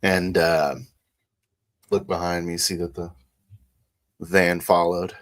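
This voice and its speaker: A man's voice: deep voice, level tone, slow. A man improvising first-person narration in a tabletop roleplaying game, hesitantly piecing his actions together.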